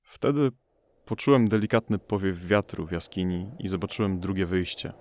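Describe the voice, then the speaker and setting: A man playing a somber investigator who narrates an audio drama in a measured, observational way. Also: low-pitched, a little mellow, natural voice. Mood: quiet reflection. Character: somber male investigator searching a storm-struck family home. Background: music behind the voice.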